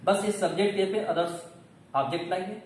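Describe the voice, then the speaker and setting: A male teacher explains in an instructive, step-by-step way. His voice sits high for a man. It comes from a distance in a very echoey room, with faint noise behind it. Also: normal speed. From India. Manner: instructive, asks questions and answers them himself. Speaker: English grammar coaching teacher. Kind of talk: classroom lesson, explaining step by step.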